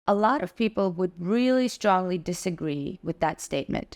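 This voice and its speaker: A woman's voice: fairly low pitch, creaky, darkish tone. A young woman from Russia narrating an earnest, opinionated video essay as its host.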